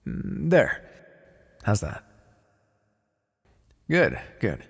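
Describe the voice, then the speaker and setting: A man playing a blunt, callous, wryly businesslike necromancer in an ASMR fantasy roleplay, muttering in a deadpan, gravelly voice. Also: lowish voice, very slow, heavily acted. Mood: wry, matter-of-fact. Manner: deadpan, muttering to himself in thought. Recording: long reverberant hall echo.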